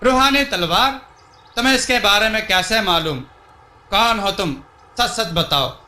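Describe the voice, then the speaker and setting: A man's voice: high-pitched, measured pace, pushed and bright, roomy sound, exaggerated voice. A man voicing a wise, solemn elderly villager who cautions and guides in a storybook tale. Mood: questioning. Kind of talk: storybook dialogue.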